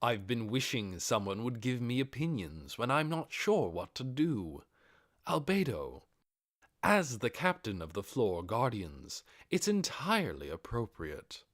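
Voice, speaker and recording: Undead overlord for fantasy audiobook, played by a man: measured authority, careful and gracious, mid-pitched. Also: sing-song, no room sound, clean studio sound. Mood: benevolent. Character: undead overlord with red-spark eyes, cautious, gracious master. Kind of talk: audiobook character acting.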